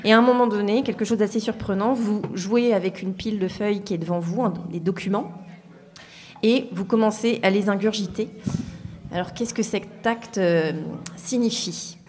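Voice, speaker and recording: A woman's voice: medium pitch, moderate pace, a little mellow. A woman hosting an interview, introducing her guest and asking questions in a hesitant way with many fillers. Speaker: interviewer, host. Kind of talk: radio interview.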